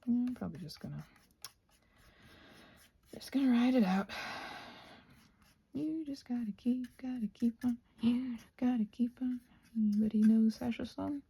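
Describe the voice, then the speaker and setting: Soft, creaky-voiced woman rambling casually. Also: fairly high pitch, normal speed, long pauses. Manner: soft-spoken, often dropping to a whisper; musing aloud, self-reassuring. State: short on sleep. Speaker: craft vlogger and ASMR host. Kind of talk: casual rambling craft chat.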